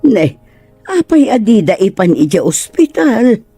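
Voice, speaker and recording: A woman's voice: medium pitch, big pitch swings, measured pace, gravelly, mellow, breathy edge, no room sound, soft background music, heavily acted. An actress playing an affectionate, ailing older mother with an Ilocano accent in a radio drama. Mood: tender, weary.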